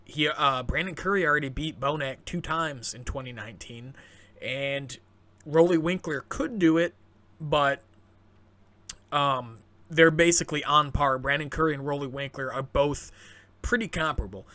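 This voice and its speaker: Bright-voiced chatty male fitness host. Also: on the high side, normal speed, no room sound. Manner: chatty, enthusiastic, many fillers and restarts. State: voice going scratchy. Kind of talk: casual commentary to camera.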